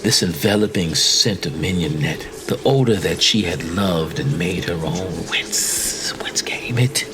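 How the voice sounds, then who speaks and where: A man reading a macabre literary tale as dramatic narration, with theatrical, deliberate phrasing. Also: low voice. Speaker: story reader. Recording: faint background noise and ambience.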